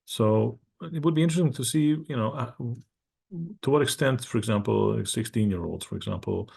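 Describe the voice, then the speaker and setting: A man's voice: medium pitch, moderate pace, creaky, a little mellow, slightly rough. A man in the audience of an online panel asking a thoughtful, hesitant question over a video call.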